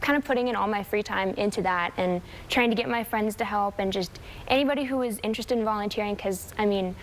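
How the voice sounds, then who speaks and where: A young woman in her late teens answering casually and haltingly as an interview guest, modest and a little giggly. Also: mid-range voice, poor audio. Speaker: high school student guest. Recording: faint background noise.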